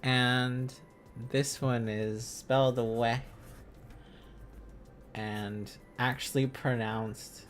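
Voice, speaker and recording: A man's voice: medium pitch, flat delivery, extremely slow, pauses often, soft background music. A man hosting a casual livestream, thinking aloud as he studies, in a rambling, silly, exclaiming manner. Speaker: livestream host.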